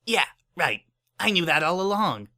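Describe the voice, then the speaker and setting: A man's voice: sits high, very animated, normal speed, bright, forceful tone, voice pushed up, full character voice. A man voicing a brash young filly with childlike bravado over her fear, in a story. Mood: defensive.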